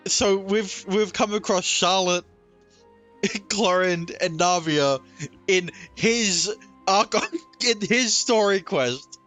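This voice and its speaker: Game streamer: casual, halting commentary at an unhurried pace, soft music underneath. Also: mid-range voice. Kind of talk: casual livestream gameplay reaction.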